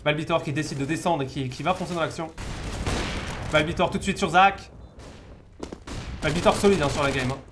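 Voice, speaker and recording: A man's voice: fairly high pitch, a little fast, long pauses. A man from France giving informal live commentary on a video game match, repeating words as he searches for the next phrase. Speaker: esports caster. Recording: noisy game stream with gunfire. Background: game gunfire and clicks.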